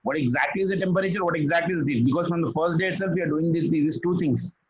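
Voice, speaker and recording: A man's voice: a little high, rapid-fire, husky, lo-fi sound. A man tutoring physics, patient, repeating key phrases and checking that his student follows. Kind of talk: one-on-one tutoring session.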